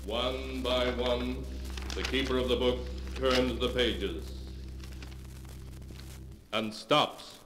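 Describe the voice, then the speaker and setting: A man narrating a 1940s radio horror show as an ominous storyteller, with theatrical, drawn-out delivery. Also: medium pitch, steady tone, loud music behind the voice. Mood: slow, eerie. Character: ominous male storyteller of dark, terrible tales. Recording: noisy 1940s radio recording.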